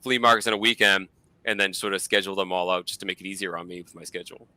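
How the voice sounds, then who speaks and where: Boston-accented middle-aged guest, lower voice, dry close sound, casual rambling chatter. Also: male voice, steady tone, racing, sharp tone, no echo. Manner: mumbly, self-deprecating, rambling. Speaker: guest, comic collector YouTuber. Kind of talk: casual interview chat.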